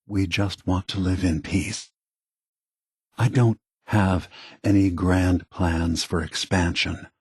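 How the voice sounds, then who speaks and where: Weary old synth leader from a video game, low husky voice, gentle n thoughtful, super dry sound. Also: male voice, no room sound, heavily acted. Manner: measured, soft-spoken, contemplative, earnest. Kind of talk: video game character dialogue.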